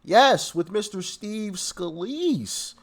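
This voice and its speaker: A man with a stuffy, congested voice from a cold, hosting a casual commentary show. His voice sits a bit high and swings up and down a lot as he chats directly to his viewers. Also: expressive, slow pace. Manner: chatty, addresses viewers directly. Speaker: political commentary host.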